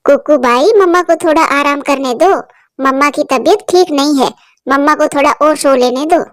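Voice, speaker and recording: A woman's voice: high voice, normal speed, no room sound, studio quality, close to an everyday voice. A woman voicing a caring young girl bird, a big sister in a cartoon story, speaking earnestly and anxiously.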